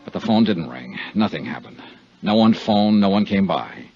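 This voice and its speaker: A man's voice: fairly low pitch, lively intonation, moderate pace. An actor playing a tough, weary insurance investigator who narrates his case tersely in 1950s radio drama style. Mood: grim narration. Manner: terse, hard-boiled. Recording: dull 1950s radio recording with music.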